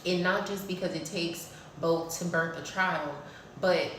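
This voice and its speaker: A woman in her thirties talks in a blunt, preachy way about spiritual laws, swearing freely as she goes. Her voice sits a little low. She is recorded from a distance in a very echoey room, with faint background noise. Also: fairly even intonation, measured pace, a bit bright. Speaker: spiritual vlogger. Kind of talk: casual spiritual talk to camera.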